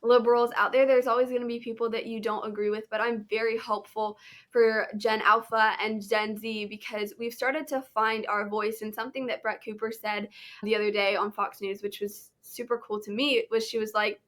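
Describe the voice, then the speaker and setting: A woman's voice: on the high side, level tone, moderate pace, fairly bright tone. A young American woman, a podcast guest, giving her opinions in an earnest, emphatic way. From United States. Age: teens. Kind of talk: podcast interview.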